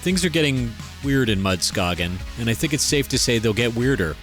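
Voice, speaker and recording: Mid-pitched man, wry podcast announcer. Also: very animated, a little fast, music in the background. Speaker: host and announcer. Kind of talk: scripted narration.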